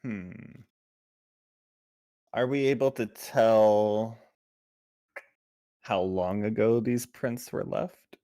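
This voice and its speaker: A man's voice: lowish voice, steady tone, extremely slow, pauses often, mellow, no room sound, studio quality. A man chatting casually as a player in a tabletop role-playing game.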